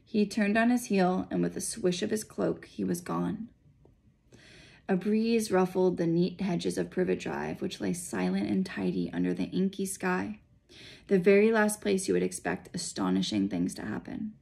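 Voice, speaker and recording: A woman's voice: on the low side, lively intonation, moderate pace, gravelly, a little mellow, slightly rough. An American woman in her thirties reading a storybook aloud as narrator. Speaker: school principal reading aloud. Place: small furnished room indoors. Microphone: phone or laptop at arm's length.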